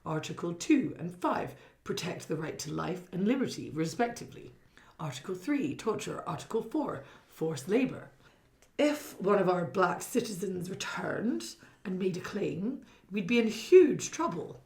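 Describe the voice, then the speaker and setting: An actress in a satirical radio play as a cautious, apologetic government legal minister, formal and hesitant in manner. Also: female voice, on the low side, big pitch swings, measured pace, a little mellow. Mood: earnest, cautious. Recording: roomy, echoing interior.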